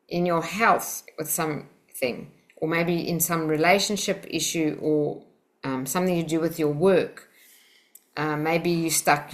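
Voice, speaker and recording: South African woman leading a webinar. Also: fairly low pitch. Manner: encouraging, direct, chatty. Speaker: Silva Method instructor. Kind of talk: hosting a webinar over a video call. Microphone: video call.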